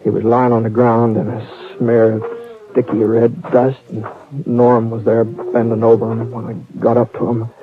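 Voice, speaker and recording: A man's voice: medium pitch, monotone, background noise, lightly acted. A man narrating as a laconic cowboy drifter. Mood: tense. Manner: easygoing drawl with frequent halting restarts. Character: weary cowboy drifter telling his own tale. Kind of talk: 1940s radio acting. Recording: tinny old radio broadcast with music.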